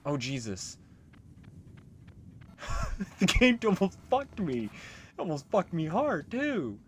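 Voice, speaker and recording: A man's voice: high voice, wildly swooping pitch, slow pace, a little hiss or hum. A man giving casual, informal, sweary video game commentary as a let's play host. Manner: profane, informal.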